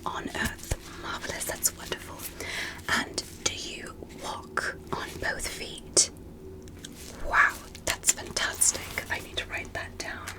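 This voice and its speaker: A woman whispering in an ASMR medical roleplay as a curious, polite alien doctor, speaking gently and deliberately with soft amazement. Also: low-quality recording. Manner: gentle, deliberate, repetitive, softly amazed at small things. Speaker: ASMR performer playing an examiner.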